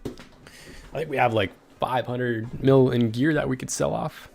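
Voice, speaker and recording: A man's voice: mid-range voice, unhurried, gravelly. A young man chatting casually on a game livestream, rambling and reading viewer messages aloud. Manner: relaxed, rambling, reads chat aloud. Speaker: gaming livestreamer. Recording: faint game music behind.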